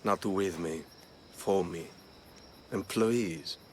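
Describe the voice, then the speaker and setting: An actor playing a sly, suave male schemer in a video game, speaking in a measured, coolly amused way. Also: low voice, a little mellow, slight background noise. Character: smooth, sly, well-to-do male schemer from abroad. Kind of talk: video game cutscene acting. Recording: faint outdoor ambience with insects.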